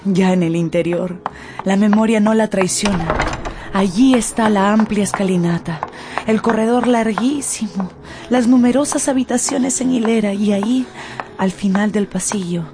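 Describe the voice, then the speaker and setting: A woman's voice: medium pitch, normal speed, background noise. A woman narrating a radio drama from a script in a theatrical, emotive storytelling manner.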